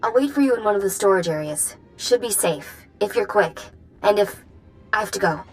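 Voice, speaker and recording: A woman's voice: mid-range voice, animated, normal speed, soft background music, close to an everyday voice. A woman playing a wry, wary underground informant in a video game, dry and matter-of-fact. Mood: urgent, guarded. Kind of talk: video game dialogue acting.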